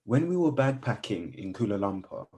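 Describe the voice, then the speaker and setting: Actor as devoted male friend, radio play, earnest, hesitant, mellow timbre, swooping intonation. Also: on the low side, big pitch swings, moderate pace. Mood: amused, fond. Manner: earnest, hesitant, evasive when pressed. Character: earnest, guarded male best friend, works in IT.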